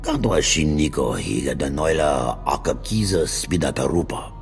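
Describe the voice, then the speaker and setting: Gruff alien, very low, lively pitch swings, guttural, invented tongue, game cutscene. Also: male voice, moderate pace, faint music behind, heavily acted. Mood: gruff, challenging.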